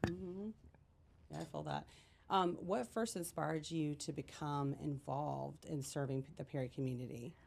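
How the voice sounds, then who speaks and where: A woman's voice: deep voice, steady tone, unhurried, darkish tone. A young woman hosting a podcast interview who talks casually, with a lot of "like", and stays upbeat. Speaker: podcast host. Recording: gravelly voice over a clear noisy background.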